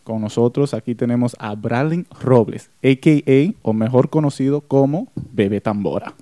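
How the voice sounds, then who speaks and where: A man's voice: mid-range voice, normal speed, dark tone, no echo. A man hosting an interview, friendly and earnest in manner. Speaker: host.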